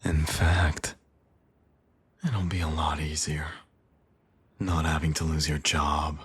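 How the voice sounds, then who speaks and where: Very deep, velvety smooth teasing villain played by a man. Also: flat delivery, slow, a bit bright, heavily acted. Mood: coaxing, flirtatious. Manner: teasing, coaxing, sardonic. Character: smug, teasing male supervillain and doting estranged father. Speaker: voice actor in audio roleplay. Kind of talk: intimate ASMR roleplay acting.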